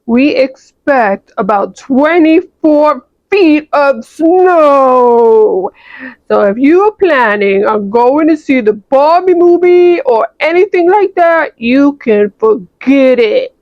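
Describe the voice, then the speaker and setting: A woman voicing a solemn female news anchor with a slow, grave announcer delivery in a toy-play story. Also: high voice, lively intonation, dry recording, muffled recording, exaggerated voice.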